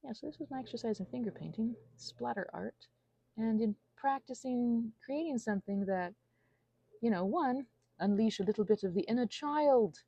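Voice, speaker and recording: A woman's voice: on the high side, moderate pace, creaky, warm tone, dry recording, lo-fi sound. A woman giving a casual, rambling monologue, whimsical and self-deprecating as her thoughts wander. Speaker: audio creator rambling to listeners.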